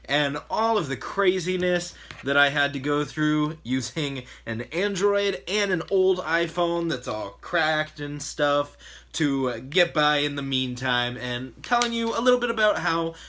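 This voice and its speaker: A man hosting a casual storytime vlog, talking in a chatty, exasperated way with a voice that is slightly hoarse. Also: fairly high pitch, lively intonation, measured pace, bright, forceful tone. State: slightly hoarse, losing his voice. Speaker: channel host.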